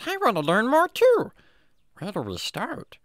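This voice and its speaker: A woman's voice: a little high, huge pitch swings, unhurried, no echo, studio quality. A woman voicing a naive, curious, childlike talking animal in a children's radio drama, with an innocent, earnest manner. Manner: innocent, earnest, baby-talk phrasing.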